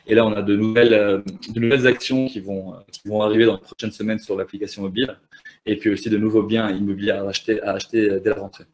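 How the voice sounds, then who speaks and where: A man's voice: lowish voice, flat delivery, fast talker, a little mellow, poor audio. A man in his thirties from Lebanon and Canada, a startup founder explaining his business in an interview, fluent but full of hesitations and restarts. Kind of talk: conversational interview answers.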